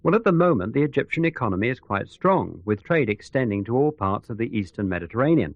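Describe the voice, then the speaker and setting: A man's voice: mid-range voice, big pitch swings, fast talker, dry recording, narrow, tinny audio. A man playing a sceptical economics expert in a radio news interview, explaining things in a considered, analytical way. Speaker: expert commentator.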